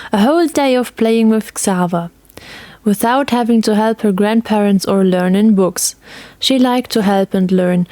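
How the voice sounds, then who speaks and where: A woman's voice: medium pitch, warm tone, dry recording. A woman reading scripted story narration as the narrator of an audio tale.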